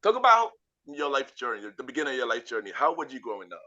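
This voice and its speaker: Male host, forceful bright tone, casual repeats; muffled lo-fi audio. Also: mid-range voice, quick pace. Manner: repeats and restarts phrases, relaxed slangy talk. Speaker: podcast host. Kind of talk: casual interview chat.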